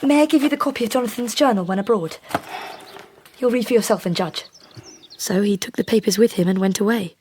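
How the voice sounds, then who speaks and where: An actress playing a composed, devoted young Victorian wife, speaking precisely and formally in a radio drama. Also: female voice, medium pitch, slight background noise, close to an everyday voice. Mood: anxious, earnest. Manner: measured, precise, softly formal.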